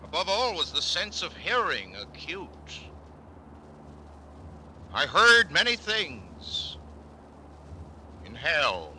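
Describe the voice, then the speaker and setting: An actor playing a nervous, cunning, mad murderer confessing in a theatrical horror monologue from a classic radio play, swinging between loud declaiming and hushed whispers. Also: male voice, wildly swooping pitch, slow, long pauses, full character voice. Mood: feverish, insistent. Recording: noisy old radio recording, vehicle rumble. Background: vehicle noise from a driving game.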